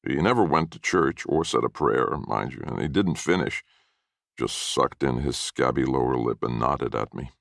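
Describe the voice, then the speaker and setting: A man's voice: a bass voice, moderate pace, creaky, a bit bright, no echo, clean studio sound. A man narrating a first-person short story expressively in a folksy storytelling manner.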